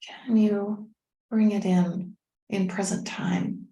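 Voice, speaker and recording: A woman leading a guided meditation as a teacher, speaking in a gentle, unhurried, soothing way with pauses. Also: mid-range voice, flat delivery. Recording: roomy, echoing sound.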